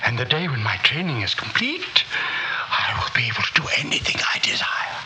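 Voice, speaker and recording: An actor playing an obsessive, absent-minded male teacher in a 1960s radio drama, halting, repetitive and theatrically fervent. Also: mid-range voice, sing-song, sharp tone, breathy edge, exaggerated voice. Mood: grandiose. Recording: noisy old radio drama recording.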